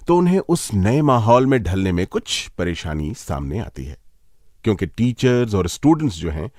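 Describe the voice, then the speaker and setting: A man's voice: fairly low pitch, warm tone, no echo, studio quality. A man presenting a radio magazine show in a playful, conversational way, addressing listeners directly.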